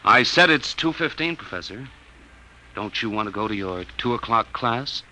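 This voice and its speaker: An actor playing an earnest, polite young American psychology instructor in an old-time radio drama. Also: male voice, fairly low pitch, animated, normal speed, bright, forceful tone, low-quality recording. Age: young adult. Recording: vintage radio recording with hiss.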